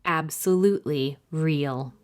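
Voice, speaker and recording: A woman's voice: low-pitched, slow pace. A woman hosting a children's storytime, narrating expressively and addressing young listeners directly. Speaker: library storytime host and reader.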